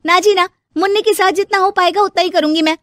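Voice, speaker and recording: A young, overworked daughter-in-law played by a woman in a cartoon moral story. Her voice is very high and sounds close and dry. She talks in a chatty, exclaiming, homely way. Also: fairly even intonation, fast, no echo. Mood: complaining, defiant. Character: young overworked daughter-in-law, eager then weary and grumbling.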